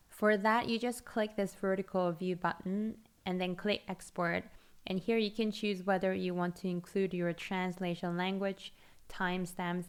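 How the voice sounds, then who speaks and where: A woman whose first language is Korean, calmly walking through a tutorial as a scripted voice-over, explaining steadily step by step. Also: on the low side, clean tone. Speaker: language-learning video host.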